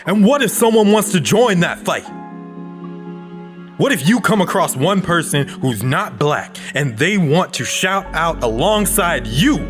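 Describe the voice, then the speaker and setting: An actor playing an earnest, principled activist in an audio drama, speaking in a measured, rhetorical way. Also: male voice, fairly high pitch, very animated, music in the background. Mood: impassioned. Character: earnest, principled young male activist pleading for unity.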